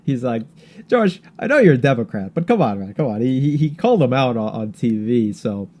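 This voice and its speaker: A man co-hosting a podcast in casual political chat, halting and repeating words as he searches for his thoughts. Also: mid-range voice, big pitch swings, dark tone. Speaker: podcast co-host.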